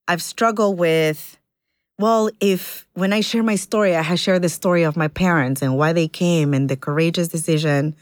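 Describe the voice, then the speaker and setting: A woman from Ecuador, a community organizer, speaking earnestly and thoughtfully in an interview, pausing with fillers. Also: deep voice, no echo, clean studio sound. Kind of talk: reflective interview conversation.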